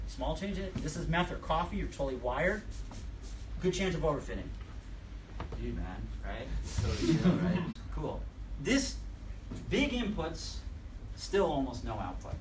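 A middle-aged man with a New York accent giving a blunt, joking talk to a conference audience, recorded in a room with a projector. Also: a little high. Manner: blunt, joking, self-deprecating, gestures broadly. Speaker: speaker at a conference session. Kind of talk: casual live lecture. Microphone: camera at the back of the room, no mic. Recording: roomy, distant, noisy room recording.

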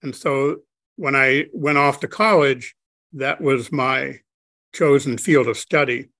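Older guy on a video call, gravelly, dry close sound, slow and halting, lots of ums. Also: medium pitch, fairly bright tone, dry recording. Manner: slow, halting, many restarts and fillers. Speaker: interview guest. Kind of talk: reflective conversation. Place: home study, indoors.

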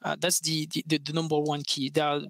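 Male panelist, French accent, fairly high sharp voice, emphatic and repetitive, expert delivery. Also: monotone, fairly quick, creaky, dry recording, studio quality. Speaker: panelist, tech research expert. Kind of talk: panel discussion answer. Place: open-plan office, indoors. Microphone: headset boom mic.

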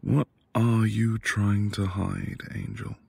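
A man performs a quiet, devoted husband in a romantic audio roleplay. His voice is low and very gravelly, with breath close to a whisper. He talks slowly and intimately, teasing and flirting with tender affection. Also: fairly even intonation, full character voice. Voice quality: breathy, husky, often dropping to a whisper.

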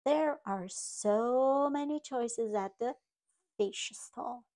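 A woman reading a picture book aloud to young children, in simple, deliberate phrases as a storytime host. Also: on the high side, warm tone.

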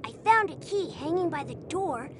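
A performer voicing a friendly, trusting child in a video game, eager and expressive. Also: extremely high pitch, normal speed. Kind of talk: video game character acting. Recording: faint train noise.

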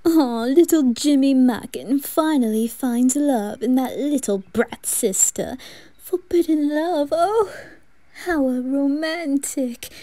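A lovestruck, flamboyant male reaper acted by a woman flirts in a high, slow voice. He swoons theatrically and draws out his words, in an anime roleplay recorded dry and close. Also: dark tone, no room sound. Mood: swooning, dramatic. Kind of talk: ASMR anime fan roleplay.

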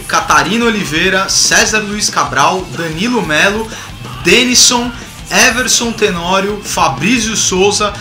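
Casting: Brazilian male vlog host, around forty, fairly high voice, theatrical and enthusiastic, recorded in an echoey home room. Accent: Brazilian Portuguese. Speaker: YouTube channel host. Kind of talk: enthusiastic vlog commentary to camera. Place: small home room with bookshelves, indoors. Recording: roomy, echoing home recording.

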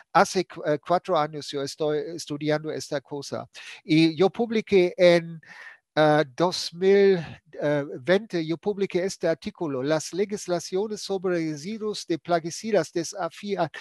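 An older man with a cold, speaking Spanish as a second language, presenting in a webinar over a video call, halting with many fillers and restarts. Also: on the high side, dry recording, clean studio sound. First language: not Spanish. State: has a cold.

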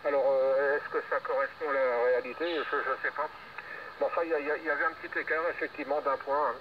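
A man's voice: medium pitch, steady tone, quick pace. A man heard over radio, chatting casually in a rambling, repetitive, hesitant way. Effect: radio transmission. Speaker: amateur radio operator. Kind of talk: casual radio contact chat. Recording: distant muffled radio speaker, very noisy. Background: loud radio and outdoor noise.

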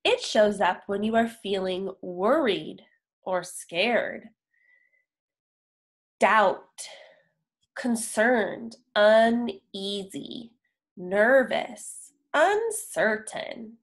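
A young adult woman reading a story aloud for young children, enunciating deliberately with pauses between words. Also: medium pitch, expressive. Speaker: children's picture book reader. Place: indoor room, on a video call.

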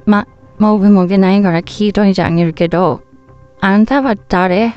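A woman playing a sharp, suspicious young hacker in a comedic voice drama, speaking in Tsugaru dialect in a blunt, scolding manner. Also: mid-range voice, normal speed, dull audio, faint music behind, natural voice. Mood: menacing.

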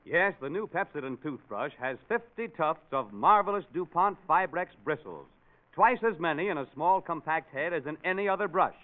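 A man's voice: a little high, expressive, normal speed. An American man reading an emphatic, scripted 1940s radio commercial. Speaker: commercial announcer. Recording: tinny 1940s radio recording.

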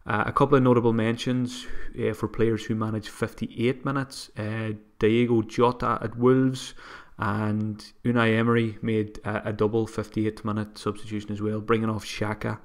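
A man's voice: lowish voice, little pitch movement, moderate pace. A man hosting a solo podcast, talking casually and a bit sniffly from a cold, with frequent restarts and self-deprecating asides. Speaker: podcast host. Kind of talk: solo fantasy football podcast.

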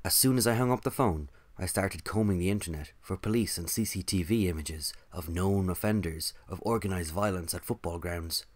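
Actor as deep-voiced, wry office worker. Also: male voice, brisk, few pauses. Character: wry, put-upon male office worker telling his story. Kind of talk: scripted first-person narration.

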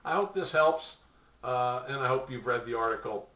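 A man's voice: medium pitch, animated, moderate pace, bright, forceful tone. An older man giving bowling practice tips straight to camera from a home office, talking conversationally with fillers and restarts. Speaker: bowling coach. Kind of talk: direct-to-camera tips talk. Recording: roomy, distant, dull webcam sound.

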